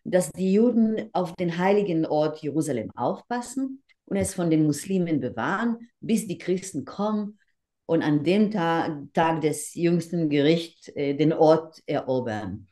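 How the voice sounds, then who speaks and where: An older woman with a Hebrew background speaks into a laptop on a video call from home. Her voice sits low and sounds soft and mellow. She explains her points carefully, pausing and restarting often. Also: animated. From Israel. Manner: measured, with frequent hesitations and restarts. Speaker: interview guest. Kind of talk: earnest explanatory interview answers. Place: living room at home.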